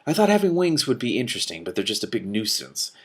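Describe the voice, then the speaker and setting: A man's voice: on the low side, big pitch swings, fast. A man voicing a naive, literal-minded winged animal from a storybook, innocent and plaintive.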